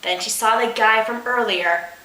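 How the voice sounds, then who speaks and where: A woman's voice: a little high, normal speed, pushed and bright, roomy sound, rough recording. A woman reading comic fiction aloud as host, theatrical, with sarcastic, exasperated asides. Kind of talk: comedic dramatic reading with asides.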